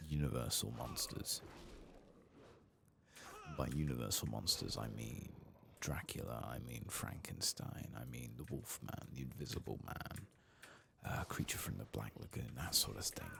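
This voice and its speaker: A man giving soft-spoken ASMR commentary over a video game, with understated asides to himself, close to the microphone. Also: very deep voice, creaky, a little hiss or hum.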